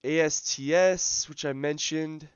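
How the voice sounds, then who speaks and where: A man hosting a live day-trading stream, his voice scratchy as he loses it, talking through charts in a relaxed way full of ums and uhs. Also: fairly high pitch, measured pace, clean tone, muffled recording. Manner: relaxed, filler-heavy, thinking aloud. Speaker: day trading livestream host. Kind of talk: live market commentary.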